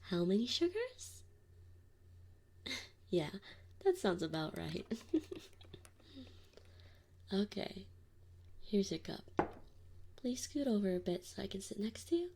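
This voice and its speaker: A woman playing a doting, gently teasing wolf mother in an ASMR roleplay, speaking softly and often in a whisper. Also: medium pitch, sing-song, gravelly, a little hiss or hum, heavily acted. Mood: warm, teasing. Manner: soft, slow, often whispered. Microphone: binaural mic.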